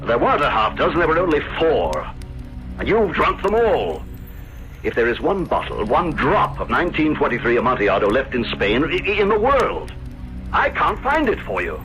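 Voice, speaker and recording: A man's voice: fairly high pitch, wildly swooping pitch, moderate pace, fairly bright tone, faint music behind, poor audio. An actor playing a proud, hard-pressed male wine grower in debt, emphatic and indignant, in a radio drama. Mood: indignant, exasperated. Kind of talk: 1970s radio drama acting.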